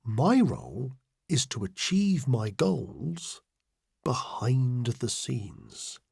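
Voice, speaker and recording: A scheming, self-important political adviser in a satirical audiobook diary. The delivery is slow and deliberate, with very animated pitch swings under a deadpan, exasperated sarcasm. The voice is a little husky and closely, drily recorded. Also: male voice, no echo, clean studio sound, full character voice. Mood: coolly menacing. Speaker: audiobook reader. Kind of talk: satirical first-person diary audiobook narration.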